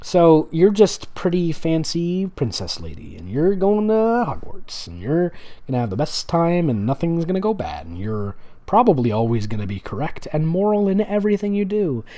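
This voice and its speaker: A man with a relaxed, mellow voice and very lively intonation hosts a comedic reading. He slips between reading aloud and dry, sarcastic commentary, casual and mocking throughout. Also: on the high side. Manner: sarcastic, mocking, dramatic asides. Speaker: host reading and mocking fan fiction.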